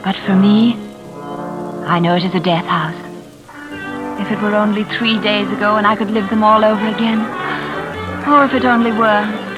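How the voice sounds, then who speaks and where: An actress playing a sweet-natured young engaged woman in a 1940s radio suspense drama, earnest, polite and softly dramatic. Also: medium pitch, steady tone, normal speed, long pauses, lo-fi sound, lightly acted. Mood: fearful, intense. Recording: vintage radio drama with music behind.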